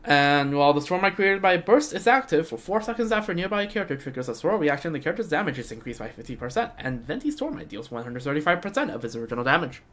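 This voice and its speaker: A man's voice: on the high side, big pitch swings, fast talker, few pauses, a bit bright. A young man giving casual live stream commentary on a game, rambling and thinking aloud. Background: game music.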